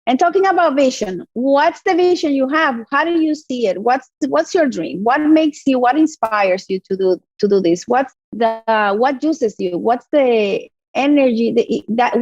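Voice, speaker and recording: A woman's voice: fairly high pitch, animated, normal speed, a little mellow, no room sound, highs cut off. A woman hosting a podcast interview, encouraging and asking strings of rephrased questions. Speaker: podcast host. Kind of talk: casual interview conversation.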